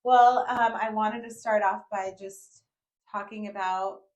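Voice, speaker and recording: A woman hosts a podcast in a relaxed conversational style. She sounds friendly and gushing, with quick little asides, and her voice sits at an ordinary middle pitch. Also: highs cut off. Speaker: podcast host. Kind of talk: casual conversational interview.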